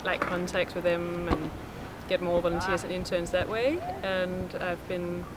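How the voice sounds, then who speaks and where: A woman's voice: fairly low pitch, level tone, measured pace, crowd noise, rough recording. A young woman from Denmark, an intern coordinating a women's project, talking earnestly with frequent hesitations. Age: young adult. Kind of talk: casual interview testimonial. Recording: loud outdoor noise, traffic behind.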